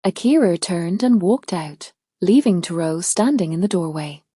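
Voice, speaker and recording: A woman's voice: lowish voice, lively intonation, normal speed, mellow, breathy edge, no room sound, studio quality. A woman narrating a scripted storybook tale as a storyteller.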